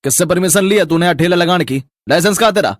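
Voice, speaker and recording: A bullying, corrupt cartoon cop, acted by a man with a fairly high male voice. He is brusque and dismissive, snapping out orders in a dry recording. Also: fast talker, dry recording, lightly acted. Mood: angry, bossy. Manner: brusque, commanding. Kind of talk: animated story cartoon dialogue.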